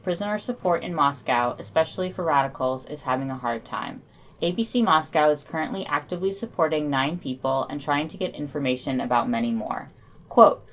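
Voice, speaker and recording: Female podcast co-host, low-pitched, reading news summaries from a script with casual asides.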